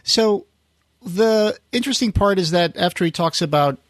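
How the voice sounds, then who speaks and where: A man hosting a podcast in casual conversation, feeling his way haltingly through his sentences. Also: high-pitched, lively intonation, a bit bright, dry recording. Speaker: podcast host.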